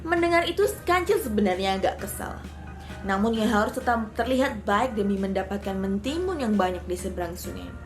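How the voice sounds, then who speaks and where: A woman's voice: mid-range voice, expressive, brisk, faint music behind. A woman storyteller speaking to young children in a motherly, instructive way.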